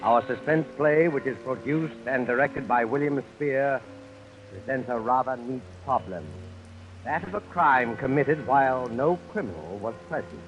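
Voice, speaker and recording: A man announces a radio play in a formal, dramatic 1940s manner, at a middle pitch. The recording is dull and old, with music behind him. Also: expressive, a little slow.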